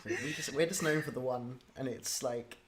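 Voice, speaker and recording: A man's voice: medium pitch, normal speed. A man hosting a casual interview, talking in an informal, rambling and enthusiastic way. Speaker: interview host.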